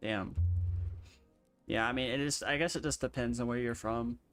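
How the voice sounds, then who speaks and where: Rambling American streamer with a slight twang. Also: male voice, medium pitch, steady tone, normal speed, fairly bright tone, soft background music. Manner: rambling, with filler words and restarts. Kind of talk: casual gaming chat.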